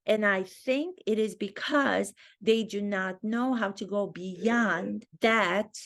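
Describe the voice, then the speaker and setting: A woman's voice: medium pitch, slow pace, a bit bright. A woman with a Romanian accent, an acting teacher thinking aloud and commenting to camera in her own video. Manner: thinks aloud, earnest and reflective. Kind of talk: talking-head commentary video.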